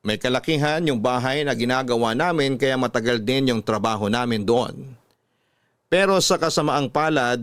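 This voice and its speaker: A regretful Filipino working man, voiced by an actor confides his troubles in radio-drama style. His slightly low voice is earnest and confessional, in a very dry recording. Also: smooth, dry recording, natural voice. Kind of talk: dramatized first-person radio storytelling.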